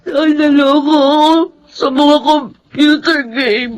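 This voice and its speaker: Radio drama schoolboy: Filipino, impulsive yet remorseful, very high youthful pitch, halting and emotional. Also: fairly even intonation, faint music behind. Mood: tearful, remorseful. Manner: emotional, stammering when confronted. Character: troubled adopted schoolboy, impulsive but remorseful.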